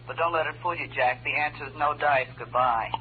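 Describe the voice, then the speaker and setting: A cool, teasing nightclub songstress brushing someone off in an old radio play. Her voice comes through a narrow telephone filter, with clear hum and noise over the vintage recording. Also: low voice, brisk. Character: sultry, flippant nightclub songstress brushing off a caller. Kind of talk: 1940s radio drama acting.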